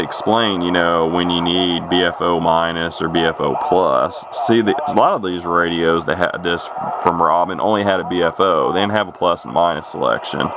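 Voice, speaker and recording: A man giving a casual, unscripted radio demo in a folksy, rambling way, thinking out loud as he goes. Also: deep voice, background noise. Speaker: hobbyist demonstrating a CB radio.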